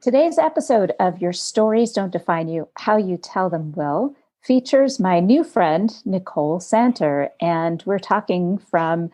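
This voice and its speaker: Woman podcast host, lowish mellow voice, chatty, lots of ums. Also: lively intonation, a little slow. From United States. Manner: warm, talkative, searching for words with fillers. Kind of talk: conversational interview. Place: home studio in Montana.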